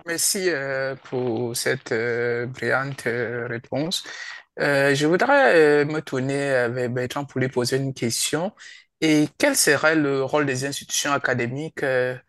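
A man's voice: medium pitch, unhurried, fairly bright tone, no room sound, studio quality. A man hosting an interview over a video call, asking questions in a hesitant way with many fillers. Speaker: interviewer host.

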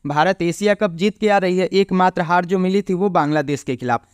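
High-pitched, clear man. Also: brisk, dry recording, studio quality. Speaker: cricket preview presenter. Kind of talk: explanatory pitch report commentary over on-screen stats.

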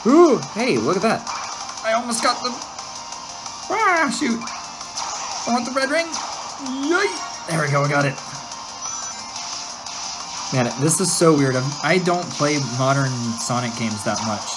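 Low-pitched woman, casual gameplay commentary, giggly and exclaiming, game music behind. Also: big pitch swings, measured pace, long pauses, rough recording. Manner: giggly, easily distracted, exclaiming. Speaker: gameplay host. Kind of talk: casual let's-play commentary. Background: video game music.

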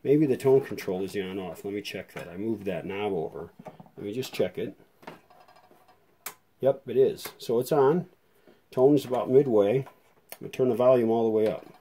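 Low-voiced man talking through a repair. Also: moderate pace, pauses often, mellow, slight background noise. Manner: thinking aloud, informal, tentative while inspecting. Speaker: vintage radio restorer, video host. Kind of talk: casual hands-on demonstration narration.

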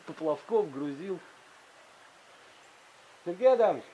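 Man, high voice, practical fishing explainer, loud river behind. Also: animated, slow pace, rough recording. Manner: practical, instructive. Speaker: angler explaining float setup. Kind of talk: casual explaining. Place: outdoors by a river. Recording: outdoor recording with loud stream noise.

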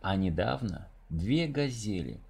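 A man narrating a children's tale in verse as an expressive storybook reader. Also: fairly low pitch, unhurried, gravelly, breathy edge.

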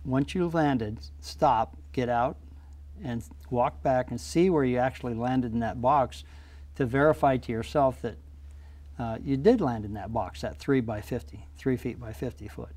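A man's voice: medium pitch, a little slow, darkish tone. An older man, a pilot, explaining flying practice in a plainspoken, practical way in a small TV studio interview on a lapel mic. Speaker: guest pilot.

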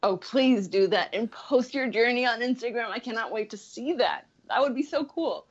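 A woman in her thirties from Chile, a podcast guest chatting casually and animatedly, with frequent "like" fillers and quick laughs. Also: fairly high pitch, lively intonation, dull audio. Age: 30s. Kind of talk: casual interview chat.

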